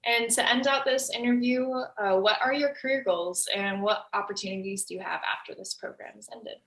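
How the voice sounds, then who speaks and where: A woman's voice: medium pitch, brisk, a bit bright, rough recording. A woman interviewing someone, asking questions and giving short encouraging reactions. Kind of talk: recorded interview.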